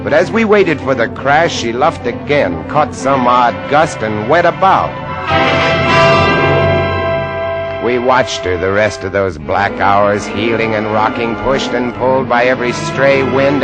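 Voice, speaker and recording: A man's voice: mid-range voice, normal speed, few pauses, natural voice, rough recording. A man playing an intense lighthouse keeper who narrates suspensefully in a 1950s radio drama. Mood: grim wonder. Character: intense male lighthouse keeper recounting a dreadful ordeal. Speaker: narrator and character. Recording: vintage 1950s radio broadcast with music.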